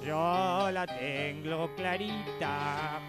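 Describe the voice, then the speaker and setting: Slow-talking Argentine man over guitar music. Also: on the high side. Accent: Rioplatense Argentine Spanish. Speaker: radio show participant. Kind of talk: playful radio sketch banter.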